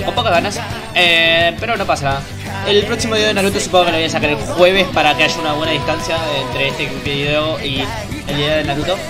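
A young man with an Argentine accent and a congested nose, chatting casually and in a rambling way as he hosts a reaction video. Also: a little high. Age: young adult. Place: small bedroom at home.

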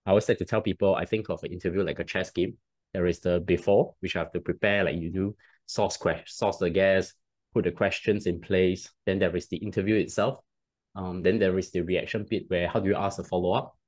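A man's voice: low-pitched, fairly even intonation, a little fast, darkish tone. A man in his thirties with a Singaporean accent, a podcast guest explaining at length on a video call with a headset, often restarting and adding "right?". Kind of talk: casual interview talk. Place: home room, video call.

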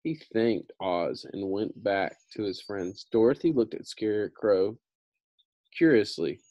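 A man's voice: on the low side, unhurried, dark tone, no room sound, highs cut off. A man in his thirties reading a children's book aloud, with casual, explanatory asides and some repetition. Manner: casual, explanatory, repeats himself. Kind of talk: read-aloud storytelling with informal explanatory asides.

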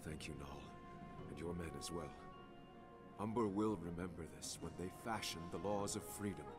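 A man acts out a resolute rebel leader in a video game. The voice is deep, solemn and formal, set against clear background music. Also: moderate pace, natural voice. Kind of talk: scripted video game acting.

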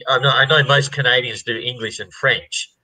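A man's voice: mid-range voice, fairly even intonation, moderate pace, pushed and bright, breathy edge, rough recording. Chatty older man on a video call. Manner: chatty, repeats words, laughs easily. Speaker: race organizer interviewing an entrant. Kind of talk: casual video call interview. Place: home room indoors.

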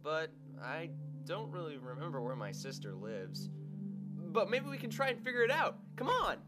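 A woman's voice: low voice, sing-song, moderate pace. A woman voicing a cheerful, naive young demon boy in a motion comic radio play. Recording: loud music behind the voice.

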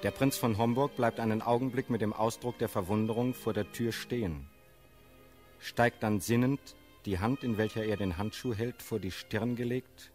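A man narrating a classical radio drama's stage directions in a sober, measured, scripted way. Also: mid-range voice, close to an everyday voice.

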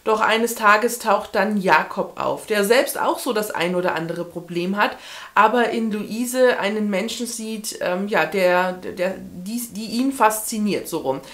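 A woman's voice: medium pitch, a little slow, fairly bright tone. A woman in her thirties reviewing books casually to camera, chatting and thinking aloud as she goes, recorded in a furnished room. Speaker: book vlogger.

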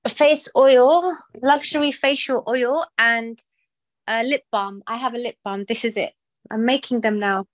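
A woman's voice: a little high, unhurried. Chatty woman on a home video call. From Pakistan. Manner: chatty, with frequent "you know" and "um". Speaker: small business owner, client. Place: living room at home.

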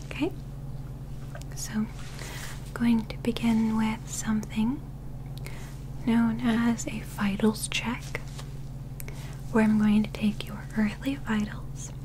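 A woman in her twenties performing a gentle, methodical ASMR medical roleplay as an alien examiner, reassuring and close to the microphone. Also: fairly high pitch, flat delivery, slow pace, pauses often, noisy background. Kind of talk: soft whispered ASMR roleplay.

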